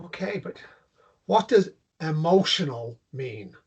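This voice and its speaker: A man's voice: a little high, lively intonation, slow, vocal fry, breathy edge, muffled recording, heavily acted. A man acting a protective, insistent character in a naturalistic drama table read, bluntly probing and stumbling into repetitions. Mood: incredulous, pressing.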